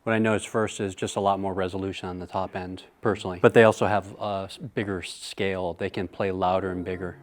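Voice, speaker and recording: A man with a fairly low voice explains in a casual, measured manner. He sounds like showroom staff giving practical guidance, leaving room for other options. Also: level tone. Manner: measured, hedging advice. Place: hi-fi showroom, indoors.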